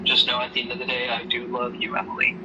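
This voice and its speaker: A man acting as a protective father in grief, heard a little away from the mic through clear background noise. He talks gently and haltingly, reassuring his daughter in a homemade audio drama. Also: medium pitch, completely flat delivery, muffled recording, natural voice. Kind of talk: amateur audio drama dialogue. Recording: noisy hobby recording, distant mic.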